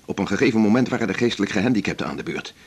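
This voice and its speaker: An actor playing a reflective, principled male doctor in a naturalistic radio drama, speaking in a measured, world-weary way. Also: on the low side, lively intonation, slightly rough. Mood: grave, doubting.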